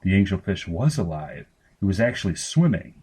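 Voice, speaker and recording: A man's voice: low-pitched, big pitch swings, a little fast, dark tone, husky. A man reading scripted narration of comic grotesque fiction. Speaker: story reader.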